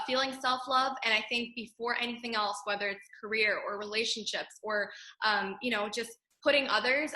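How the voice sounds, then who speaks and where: A woman's voice: on the high side, bright, forceful tone, roomy sound. A woman born in Pakistan and raised in Texas, hosting a podcast, affirming her guest and talking with many fillers. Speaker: podcast host. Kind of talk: casual interview talk.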